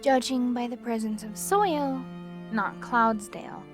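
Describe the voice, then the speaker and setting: A woman voicing a reserved young female pony who speaks in a blunt, formal, deadpan way in an audio drama. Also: on the high side, measured pace, music in the background, natural voice. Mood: dry curiosity. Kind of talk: acted dialogue in a fan-made audio drama.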